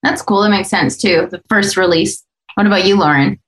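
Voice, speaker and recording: A woman's voice: medium pitch, a little fast, roomy sound. A woman who hosts and voice-acts on a podcast, chatting casually. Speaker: podcast voice actor and host.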